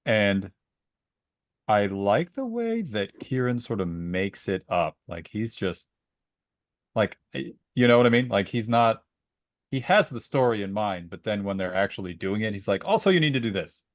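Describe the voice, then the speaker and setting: A man's voice: fairly low pitch, sing-song, normal speed, dry recording, studio quality. A man co-hosting a podcast in casual conversation, telling stories and acting out bits of remembered dialogue. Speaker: podcast co-host and story reader. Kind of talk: casual book discussion with personal anecdotes.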